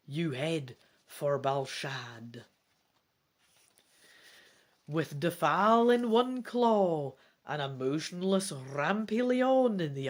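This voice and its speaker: A gamebook reader and host giving dramatic, deliberate storybook narration with casual asides. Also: mid-range voice. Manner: theatrical, deliberate phrasing.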